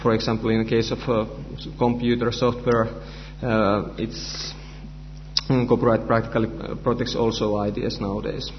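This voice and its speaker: Halting Finnish-accented man lecturing. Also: lowish voice, little pitch movement. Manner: halting, many fillers and restarts in a non-native language. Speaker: conference presenter, researcher. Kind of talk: conference lecture. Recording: dull, reverberant hall recording with faint hum.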